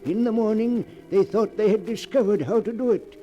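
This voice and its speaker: A male narrator of an old-time radio suspense tale, measured and ominous. His voice is very dark and mellow yet pitched high, with music playing behind him. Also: lo-fi sound. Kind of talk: 1940s radio scripted narration.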